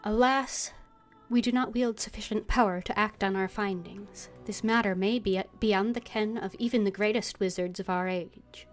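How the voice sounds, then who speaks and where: A woman streaming a game, reading dialogue and notes aloud in character with measured expression. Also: medium pitch, fairly even intonation. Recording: faint music behind the voice.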